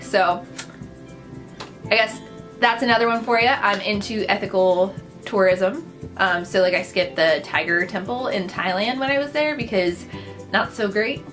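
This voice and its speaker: A young woman, Dutch by first language, rattles off personal facts in a relaxed, upbeat vlog. She chats casually with lots of little asides and fillers, at an ordinary middle pitch. Clear music plays under her voice throughout. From Netherlands. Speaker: vlogger.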